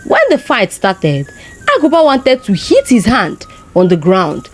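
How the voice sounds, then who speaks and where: A woman with a Nigerian accent telling a folktale in an expressive narrating style. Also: mid-range voice, a little slow, faint music behind. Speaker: storyteller.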